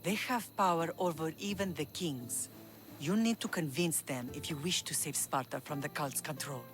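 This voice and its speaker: A woman's voice: low-pitched, moderate pace, music in the background, lightly acted. An actress playing a proud, steely, middle-aged Spartan mother in a video game. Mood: proud, solemn. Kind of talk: video game dramatic acting.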